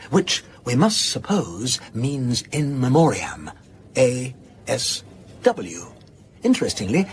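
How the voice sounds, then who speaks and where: Pedantic, very animated male academic. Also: medium pitch, a little hiss or hum. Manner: pedantic, theatrical emphasis, drawn-out pauses. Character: pedantic male academic relishing his own revelations. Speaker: lecturer. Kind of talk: naturalistic radio drama acting.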